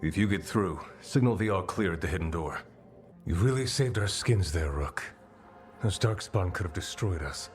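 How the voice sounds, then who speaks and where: A sober man of a fantasy resistance. His voice is deep and alert, with faint music in the background. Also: lively intonation, moderate pace. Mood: urgent.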